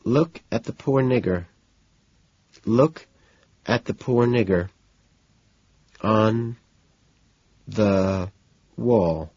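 Man voicing a soft, composed, soothing woman. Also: medium pitch, sing-song, unhurried, slightly rough, voice pushed up. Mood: hesitant. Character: composed, soothing, quietly amused woman, gently concerned. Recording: dull, lo-fi, roomy sound.

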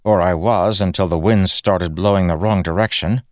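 A man voicing a stern, no-nonsense townlord's wife who talks in a brisk, scolding manner. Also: low-pitched, no room sound, studio quality, close to an everyday voice. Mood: curt.

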